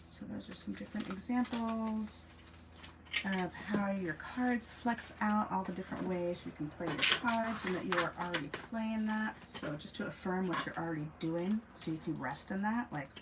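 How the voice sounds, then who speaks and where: A woman's voice: mid-range voice, moderate pace. A woman giving a casual, musing self-help talk as a host, rambling through asides and emphatic repeats. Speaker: host, former teacher. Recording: clearly noisy amateur recording.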